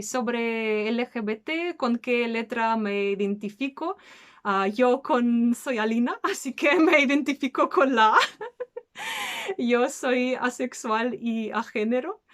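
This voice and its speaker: A woman's voice: on the high side, very animated, slow, fairly bright tone. A woman in her early thirties, a native Russian speaker with a slight Russian accent, chatting casually as she answers questions as a channel host. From Belarus, later raised in Spain. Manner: chatty, breaks into laughs.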